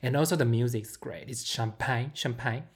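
A woman's voice: a bass voice, normal speed. A woman, chatting casually as a podcast co-host, giggly and prone to mixing up names. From Taiwan. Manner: giggly, mixes up names, repeats words. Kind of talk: casual chat about films.

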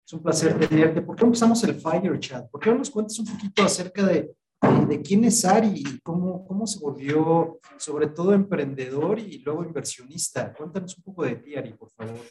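A man's voice: on the high side, expressive, normal speed, darkish tone, slightly rough, poor audio. A man hosting a podcast, reading a scripted intro and then asking friendly questions with small stumbles. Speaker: podcast host.

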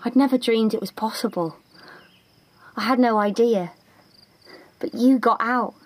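Husky, high, eager budgie. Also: female voice, measured pace, a little mellow. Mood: shyly admiring. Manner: gushing, wide-eyed, artless. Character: eager, naive, starstruck young budgie escaped from a cage. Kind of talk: audio drama acting. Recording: music behind the voice.

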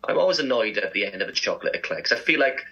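A man's voice: medium pitch, lively intonation, racing, bright, forceful tone, lo-fi sound. A man co-hosting a casual radio chat, chatty and enthusiastic. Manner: chatty, enthusiastic food talk. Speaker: radio show co-host.

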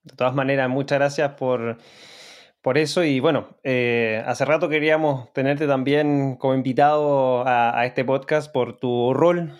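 A man's voice: mid-range voice, steady tone, unhurried. A man hosting a podcast, welcoming a guest and asking questions in an upbeat, emphatic way. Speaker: podcast host. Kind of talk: read sponsor ad and podcast hosting.

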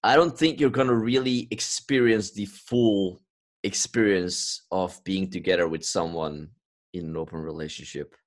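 A man's voice: low-pitched, steady tone, normal speed. A man from Belgium chatting casually as a podcast host, explaining his views in an earnest way. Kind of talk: casual conversational discussion.

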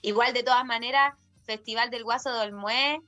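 A woman's voice: fairly high pitch, fairly even intonation, moderate pace, sharp tone, faint music behind. A woman with a Chilean accent, a guest singer chatting casually in slangy speech and tagging her sentences with a question. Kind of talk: casual conversation.

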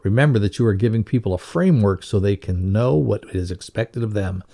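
A man's voice: fairly low pitch, a little fast, gravelly, warm tone. A man reading a scripted instructional lesson aloud as a narrator. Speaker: reader of a leadership values lesson.

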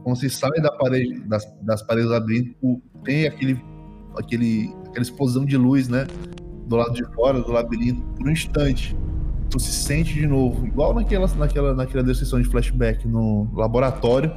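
A man's voice: mid-range voice, brisk, over music. A Brazilian man running a tabletop game as game master, narrating off the cuff and often repeating words.

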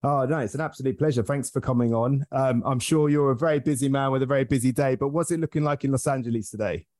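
A man's voice: medium pitch, quick pace, a little mellow, no room sound. A man with an English accent hosting a podcast and greeting his guest in a friendly, upbeat way. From England. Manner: friendly, upbeat, sings intro lines. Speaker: podcast host. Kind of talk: podcast interview.

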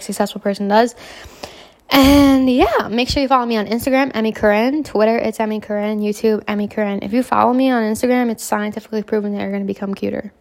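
A young woman hosting a casual self-help podcast, hoarse from a cold, talking in a brash, self-assured way and swearing freely. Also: on the high side. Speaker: podcast host.

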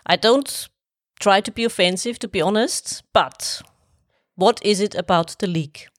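A woman hosting a book podcast, speaking in measured, deliberate phrases. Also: mid-range voice, no echo, clean studio sound. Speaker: podcast host. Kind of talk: podcast interview.

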